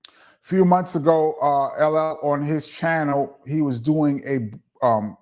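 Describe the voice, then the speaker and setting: A middle-aged man from Brooklyn with a New York accent, his voice sitting a bit high for a man. He talks in a laid-back, chatty way, with plenty of ums, as he reads out viewer questions and answers them on a live stream. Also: a little slow, darkish tone. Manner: laid-back, chatty, laughs and says um often. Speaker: host of a live chat show. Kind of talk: casual live-stream Q&A, reading viewer comments.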